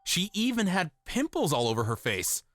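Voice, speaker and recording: Animated young office guy, higher-pitched, acted. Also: normal speed, heavily acted. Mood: narrating. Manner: earnest, exasperated when provoked. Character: ordinary young male office worker, loyal, easily riled boyfriend. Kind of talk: dubbed manga narration and dialogue. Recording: voice over background music.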